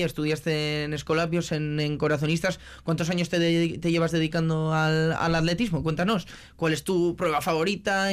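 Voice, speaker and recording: A man's voice: on the high side, clean tone. A man with an accent from Spain, conducting a conversational sports interview as the host. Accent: Spanish, from Spain.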